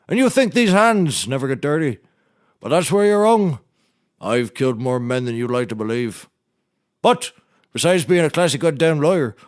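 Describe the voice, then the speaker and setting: A man's voice: on the high side, no echo, clean studio sound. An actor playing a blustering, folksy nautical handyman turned defense lawyer, orating grandly in a comedy courtroom. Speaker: defense attorney. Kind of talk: scripted comedy courtroom oratory.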